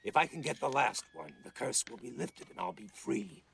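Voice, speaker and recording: An actor voicing a streetwise, sardonic ventriloquist dummy with a gravelly, husky voice in a TV drama. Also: male voice, medium pitch, expressive, moderate pace, fairly bright tone, a little hiss or hum. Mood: matter-of-fact. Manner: tough, sardonic, clipped. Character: wisecracking, streetwise male ventriloquist dummy, cursed demon hunter. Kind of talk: TV drama dialogue.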